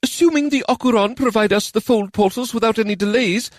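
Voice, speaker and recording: A man voicing a nervous male officer who reports bad news too loudly and in a strained way. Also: sits high, fairly quick, no room sound, studio quality, voice pushed up, natural voice. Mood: uneasy. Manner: loud and strained, avoids the point.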